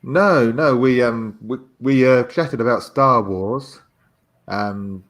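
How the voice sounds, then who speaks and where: A man as a guest in a casual chat, explaining haltingly and stammering as he searches for words. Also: medium pitch.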